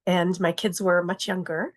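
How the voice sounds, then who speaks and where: A woman circle facilitator speaking in a plain, measured way with fillers in casual chat on a video call. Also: lowish voice, fairly even intonation.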